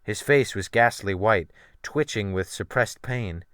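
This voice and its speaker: A man giving a theatrical, expressive first-person dramatic reading of a literary sea tale as an audiobook narrator. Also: fairly low pitch, a little slow, smooth, no echo, studio quality. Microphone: USB desktop microphone.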